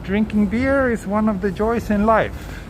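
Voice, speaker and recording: A man from southern Sweden chatting casually with viewers during a live walk, relaxed, with many ums and restarts. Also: sits high, measured pace, a crowd in the background. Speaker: live-streaming walk vlogger. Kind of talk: casual live-stream chat answering viewers. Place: outdoors on city streets at night. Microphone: handheld phone camera. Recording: street noise behind the voice. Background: traffic and street ambience.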